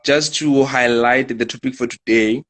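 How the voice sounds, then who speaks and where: A man hosting a live-stream interview, speaking in a measured way and leaning on repeated set phrases. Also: mid-range voice, fairly even intonation, fairly bright tone. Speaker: host.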